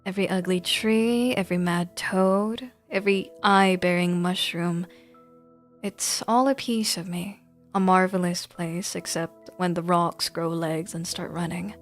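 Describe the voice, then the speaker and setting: A woman voicing a wistful, poetic video game character in a soft, measured, theatrical way. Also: lowish voice, steady tone, faint music behind, natural voice. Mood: wistful, proud.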